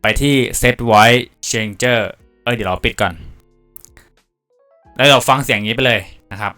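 Clear-voiced young man giving a casual tutorial. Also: mid-range voice, measured pace, bright, forceful tone, no room sound, faint music behind. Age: young adult. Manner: informal, polite phrasing mixed with crude slang. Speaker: tutorial presenter. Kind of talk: casual software tutorial narration.

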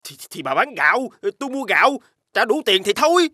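A man voicing a timid but scheming poor husband in an animated fairy tale, stammering when flustered. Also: very high voice, fairly quick, no room sound, clean studio sound, heavily acted. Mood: defensive.

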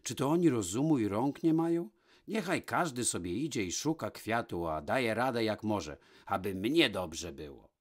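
A man's voice: on the low side, expressive, moderate pace. A man voicing a young peasant man in a storybook, torn between longing and selfishness, speaking in exclamations. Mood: defiant, selfish.

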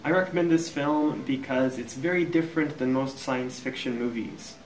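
A man in his thirties from Kansas with an American accent, a university teacher answering interview questions in a relaxed, plain-spoken way. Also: mid-range voice, reverberant, distant mic, poor audio. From United States, Kansas. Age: 30s.

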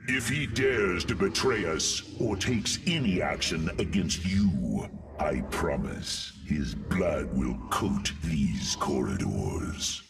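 A fierce, loyal alien warrior from a video game. He threatens in an extremely low, gravelly boom that sounds set back, with music behind. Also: lively intonation, far from the mic, heavily acted. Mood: threatening. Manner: slow, booming, threatening.

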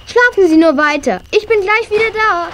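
A young female performer playing a plucky, lively girl in a children's audio drama, expressive and youthful. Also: extremely high pitch, normal speed, a little hiss or hum. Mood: concerned, resourceful.

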